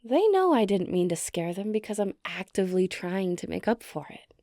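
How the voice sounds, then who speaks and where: Kindly giantess , played by a woman, coaxing and teasing, lively intonation over a mellow tone, for ASMR roleplay. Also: mid-range voice, moderate pace, no room sound, clean studio sound. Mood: coaxing, chiding. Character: kind, nurturing giantess, gently teasing and hopeful.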